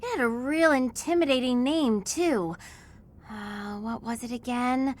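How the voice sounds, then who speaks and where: A woman's voice: a little high, a little slow, natural voice. An actress voicing a perky, chatty young female student in a video game, breezy and informal. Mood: curious, chatty.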